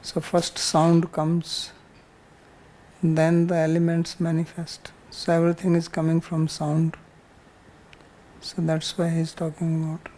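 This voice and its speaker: Indian guy teaching scripture, soft dark voice, pretty monotone, explains stuff simply and repeats it. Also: on the high side, slow, pauses often. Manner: didactic, repeats points, uses simple examples. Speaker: spiritual teacher.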